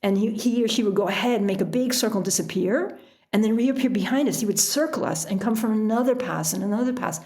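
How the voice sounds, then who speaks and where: A middle-aged woman telling stories casually as an interview guest, in an animated, chatty way. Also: medium pitch, fast talker. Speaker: podcast guest, author and sailor.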